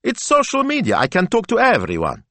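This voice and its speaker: Man putting on a pouty young woman, high-pitched. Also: huge pitch swings, normal speed, a bit bright, no echo, heavily acted. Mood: breezy, exasperated. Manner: pouty, breezy, earnest. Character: self-absorbed, exasperated, chatty young woman on her phone.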